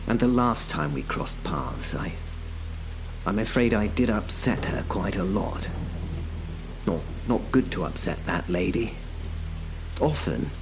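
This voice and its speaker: A man tells a horror audio drama in the first person, in an unhurried, low voice. His delivery is dry and self-mocking. A faint music bed sits underneath. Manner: wry, self-mocking, dry British humour. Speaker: narrator and lead character.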